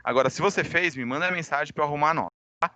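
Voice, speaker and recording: Brazilian male teacher, forceful bright tone, dry close sound, slightly under the weather with a cold, informal and conversational with his online class. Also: fairly high pitch, racing, dry recording. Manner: informal, conversational, addresses students directly. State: recovering from a cold. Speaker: high school physics teacher. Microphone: microphone.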